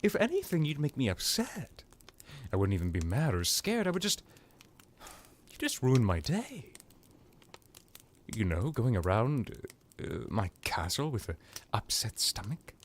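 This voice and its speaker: A man's voice: mid-range voice, huge pitch swings, a little slow, long pauses. A man playing an ancient vampire lord in an ASMR roleplay, seductive, teasing and menacing, with a theatrical delivery that drifts into whispers. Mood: petulant, wry.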